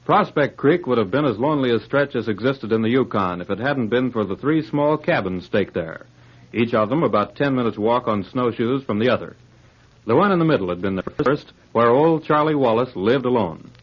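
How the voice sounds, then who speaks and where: Husky male old-time radio narrator. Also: medium pitch, lively intonation, fairly quick. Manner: declamatory, scripted. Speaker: announcer and narrator. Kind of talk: dramatic 1940s radio narration. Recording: muffled old radio recording.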